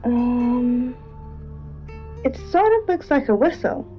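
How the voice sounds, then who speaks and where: An actress playing an earnest female late-night radio co-host and dream interpreter, speaking in a measured, tentative way. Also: on the high side, muffled recording. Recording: band-limited radio sound over music.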